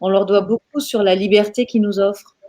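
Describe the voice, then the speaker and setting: A woman's voice: mid-range voice, fairly even intonation, a little fast, dark tone. A woman panelist talking casually in a discussion, repeating phrases for emphasis.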